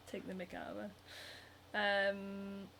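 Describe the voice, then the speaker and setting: A woman's voice: mid-range voice, monotone, very slow, creaky, bright, forceful tone, a little hiss or hum. A young woman with a Northern English accent, hosting a casual livestream while she sews, reading out viewer comments and chatting with lots of giggles, ums and likes. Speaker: cosplayer and livestream host. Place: home, hand sewing while streaming.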